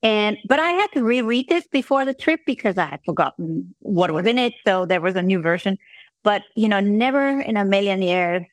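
A woman's voice: medium pitch, lively intonation, moderate pace, no room sound. Chatty woman, non-native English speaker. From Iceland. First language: not English. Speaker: guest, online business owner. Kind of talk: conversational interview. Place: based in Switzerland.

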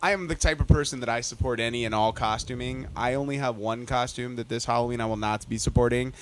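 A man's voice: mid-range voice, fast talker, a little hiss or hum. A man hosting a casual podcast, opinionated and emphatic, swearing often.

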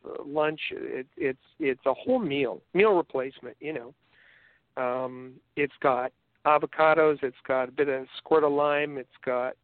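A Canadian man co-hosting a podcast, rambling through casual chat with many halting restarts. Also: fairly high pitch, no echo. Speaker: podcast co-host. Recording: tinny phone-like line.

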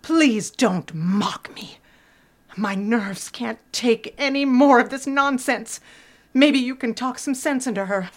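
A woman's voice: a little high, takes it slowly, slight background noise. An actress playing a prim, fretful middle-aged mother who scolds like a martyr in an audio drama. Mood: scandalized.

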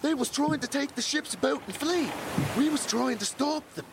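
High-voiced, obedient deckhand. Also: male voice, normal speed, background noise. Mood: anxious. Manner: rustic, ungrammatical sailor talk. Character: anxious, obedient common deckhand. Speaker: actor in audio drama. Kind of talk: nautical audio drama acting.

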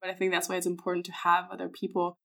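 A woman's voice: fairly low pitch, monotone, racing, creaky, fairly bright tone. A woman hosting a podcast, talking emphatically and passionately in a casual interview. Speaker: podcast host.

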